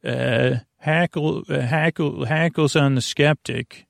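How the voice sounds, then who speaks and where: Male bedtime podcast host: creaky fry, mid pitch, gentle halting rambles, dry close sound. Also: steady tone, no echo. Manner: meandering, tangential, halting; soothing, gentle.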